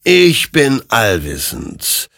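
Man voicing a slow, menacing spectral horseman of death. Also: mid-range voice, huge pitch swings, a bit bright, no room sound, studio quality, pitched above the performer's own voice, full character voice. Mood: ominous, commanding. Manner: slow, hissing, whispering, solemn accusations. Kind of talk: audio story narration.

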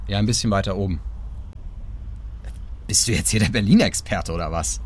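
An actor playing a grumpy, impatient police detective in a radio crime drama, curt and colloquial. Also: male voice, on the low side, normal speed, lightly acted. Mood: curt, irritated. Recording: outdoor street and vehicle noise.

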